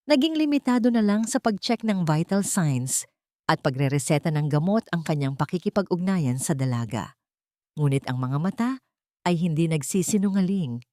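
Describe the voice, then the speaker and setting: A woman's voice: lowish voice, dark tone, dry recording, studio quality. A woman with a Filipino accent reading a dramatic romance story as scripted narration.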